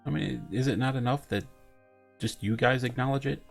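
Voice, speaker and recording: A man's voice: mid-range voice, moderate pace, gravelly, faint music behind. A man giving casual commentary as he plays through a video game. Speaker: game streamer.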